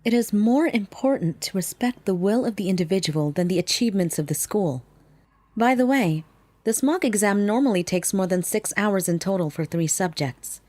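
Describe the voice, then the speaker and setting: A woman playing a calm, perceptive teacher. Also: mid-range voice, normal speed, no room sound, close to an everyday voice. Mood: composed. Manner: composed and firm.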